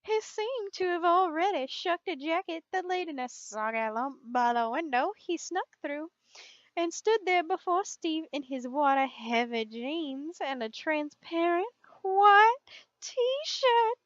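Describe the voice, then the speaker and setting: A woman's voice: extremely high pitch, lively intonation, slow pace, no room sound. A woman reading fan fiction aloud in an amused manner, on a dull, muffled recording.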